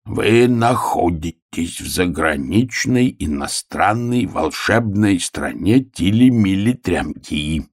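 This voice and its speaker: A man puts on a little bear cub from a children's tale, gruff and full of self-importance. The low, husky voice weighs out each word with solemn, officious care, like a stern clerk. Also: expressive, no room sound, studio quality, full character voice. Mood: solemn, ceremonious. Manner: pompous, deliberate, solemn, gruff.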